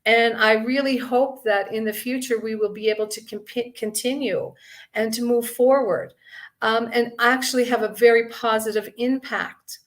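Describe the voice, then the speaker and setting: A middle-aged woman from Canada giving an earnest conference speech, with many ums and pauses between phrases. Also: a little high, fairly even intonation. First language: English. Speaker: guest speaker.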